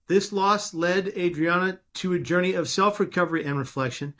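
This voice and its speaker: An American man hosting an interview podcast, speaking in an effusive, emphatic way with deliberate phrasing. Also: fairly high pitch, a bit bright.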